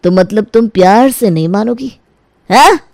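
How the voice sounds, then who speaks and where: A woman's voice: mid-range voice, normal speed, darkish tone, dry recording, clean studio sound, close to an everyday voice. A woman playing a sly, lecherous young man, the brother-in-law in a story, with an insinuating, pushy manner. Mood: threatening, scornful.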